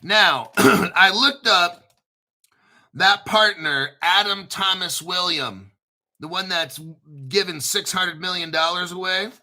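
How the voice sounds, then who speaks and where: A man's voice: high voice, takes it slowly, pushed and bright. A man hosting his own crypto channel, talking casually and conspiratorially, spelling out names letter by letter and swearing now and then. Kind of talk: casual solo commentary.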